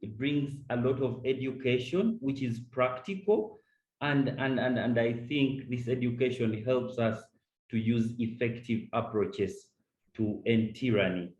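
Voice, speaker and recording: A man's voice: mid-range voice, unhurried, a little mellow, reverberant, poor audio. A man from Uganda speaking earnestly as a discussant on a webinar call, often repeating words as he starts a point. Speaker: panel discussant. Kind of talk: webinar remarks. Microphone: video call.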